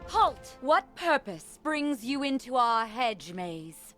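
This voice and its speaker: Slow, solemn seraph guardian. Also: female voice, on the high side, big pitch swings, fairly bright tone, faint music behind, exaggerated voice. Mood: commanding. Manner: formal, measured. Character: wise, gentle, solemn female seraph guardian in a fantasy game. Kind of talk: video game character acting.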